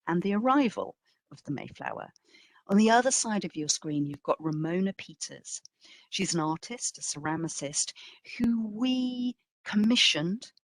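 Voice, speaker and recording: A woman delivers a history lecture at a very unhurried pace, in a slightly low voice. She stresses key phrases and puts questions to her listeners as she goes. The sound is dry and close, with little room around it. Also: dry recording. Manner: measured, emphatic phrasing with rhetorical questions. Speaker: exhibition curator giving a history talk. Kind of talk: public lecture, presenting to an audience with slides.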